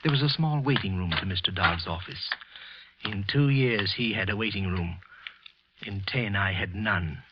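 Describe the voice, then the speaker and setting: An actor playing a guilty, nervous office worker in a vintage radio thriller, speaking in a deliberate, intense, brooding manner. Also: male voice, on the low side, heavy background noise, close to an everyday voice. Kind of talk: old-time radio thriller acting, first-person narration. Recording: muffled vintage radio recording with music.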